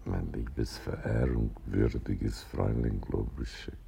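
Very deep, gravelly, weary old man. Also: steady tone, takes it slowly, warm tone, a little hiss or hum, heavily acted. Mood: self-deprecating. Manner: florid, mock-pious, lamenting formal speech. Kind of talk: radio play acting.

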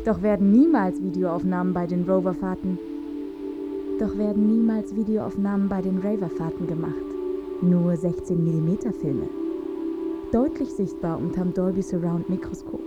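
An actress playing a matter-of-fact presenter in an experimental radio drama, explaining footage in a declarative, instructive way. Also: female voice, lowish voice, normal speed, natural voice. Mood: matter-of-fact, insistent. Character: woman presenter matter-of-factly explaining archive moon footage. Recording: muffled sound, prominent music underneath.

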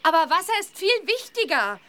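A woman's voice: very high-pitched, big pitch swings, fairly quick, fairly bright tone, exaggerated voice. A woman voicing a cheeky, fearless young witch girl in a children's audio play, with a confident manner.

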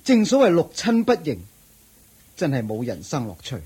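A newly rich man with a score to settle, in Hong Kong Cantonese radio drama style. The voice is very husky and rough, with lively swings in pitch. He sounds sly and self-satisfied, like a schemer confiding in a friend. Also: moderate pace, full character voice. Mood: reflective, aggrieved. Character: newly rich man, once scorned, slyly plotting mischief. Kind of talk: Buddhist radio drama acting.

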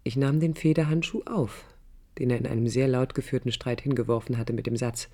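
A woman's voice: a bass voice, fairly even intonation, a little fast, darkish tone. An actress playing a passionate, searching young woman in a radio play, reflective and intimate, sometimes close to a whisper. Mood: musing. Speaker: radio drama actress, narrator and lead. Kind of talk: 1980s German radio play acting, intimate monologue. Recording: music under a studio radio recording.

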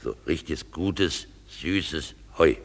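Donkey-headed simpleton, extremely low voice, husky, pompous drawl, lazy and greedy, radio play. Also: male voice, takes it slowly, heavily acted. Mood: greedy, dreamy. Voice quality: gravelly, husky, very deep. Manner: drawling, self-satisfied, chuckling, muttering with repeated "ja". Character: weaver turned donkey-headed fool, smug, cosy, sleepy, greedy. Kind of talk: classic radio play comedy acting.

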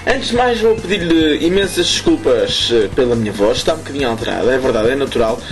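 A man with a European Portuguese accent hosting a casual radio show, hoarse from smoke, rambling and correcting himself with chuckling asides. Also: medium pitch, lively intonation, brisk. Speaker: radio host.

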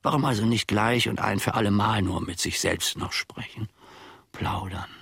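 An actor playing a grumbling, self-important manservant who muses pedantically to himself in a German radio play. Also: male voice, lowish voice, moderate pace, gravelly, fairly bright tone, slightly rough, no room sound, clean studio sound, full character voice. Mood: pondering, dismissive. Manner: ruminating, pedantic, self-satisfied.

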